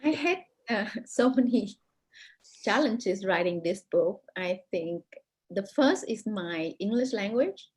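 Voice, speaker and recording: A woman with a Vietnamese accent, a native Vietnamese speaker, answering interview questions as an author over a video call, thoughtful with many pauses and restarts. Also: medium pitch, animated, a little mellow, breathy edge. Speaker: author, guest.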